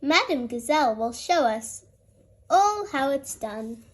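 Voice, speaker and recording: A friendly male bear doctor from a children's storybook, acted by a woman. He explains things in an encouraging, instructive way. The delivery is unhurried, with lively rises and falls. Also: high-pitched, heavily acted. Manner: instructive, kindly.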